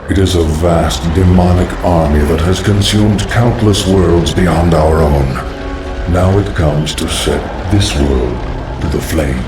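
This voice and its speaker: A cold undead lich intones in a low, formal voice for a fantasy game. The delivery is measured and ominous, with strong reverberation over clearly audible music. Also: male voice, far from the mic. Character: cold, scholarly undead lich serving a dark master. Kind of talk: video game cutscene acting.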